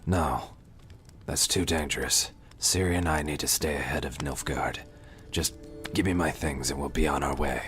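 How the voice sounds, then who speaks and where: A man playing a gruff, terse, wounded monster hunter in an audio drama, with a gravelly, low-key delivery. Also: normal speed, music in the background. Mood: firm. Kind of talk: fan audio drama acting.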